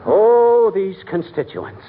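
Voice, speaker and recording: Boastful folksy american husband, highish swooping voice, pompous, crackly old radio comedy. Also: male voice, huge pitch swings, takes it slowly, over a noisy crowd, full character voice. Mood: self-important. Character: blustering, self-important small-town husband, a man full of boasts. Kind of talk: 1940s radio comedy acting. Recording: tinny, noisy 1940s radio, studio audience.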